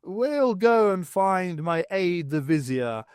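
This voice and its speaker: A man's voice: sits high, sing-song, slow pace, darkish tone, clean tone, no room sound, studio quality, pitched above the performer's own voice, exaggerated voice. A man voicing a cross, bossy pantomime king who declaims in rhyme in a storybook. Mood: commanding.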